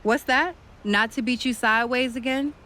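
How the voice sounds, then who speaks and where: A woman's voice: a little high, moderate pace, pushed and bright. A woman playing a confident, shrewd woman wrestling star in a video game cutscene, speaking in an assertive, dismissive manner. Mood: cool, assertive.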